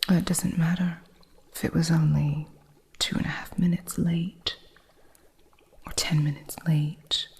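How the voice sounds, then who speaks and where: A woman voicing a stern, impatient witch who runs a curio shop, in a soft whispered ASMR roleplay with a strict, haughty manner. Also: deep voice, level tone, creaky, husky, heavily acted. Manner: strict, haughty, slow and deliberate.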